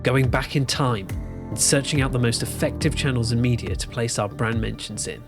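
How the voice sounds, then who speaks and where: Actor as smug male marketer, mid-pitched, visionary salesman manner, audio drama, clear music underneath. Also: moderate pace, close to an everyday voice. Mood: grandiose.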